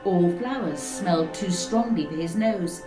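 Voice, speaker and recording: A woman reading a story aloud in a slow, measured, expressive way. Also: on the low side. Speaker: reader. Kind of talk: storybook narration. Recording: roomy echo with faint music.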